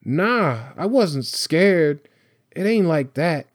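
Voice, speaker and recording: A man's voice: a little high, expressive, moderate pace, no room sound, studio quality, voice pushed up, lightly acted. A man voicing a nervous, defensive teenage boy in an audiobook, blurting slangy protests.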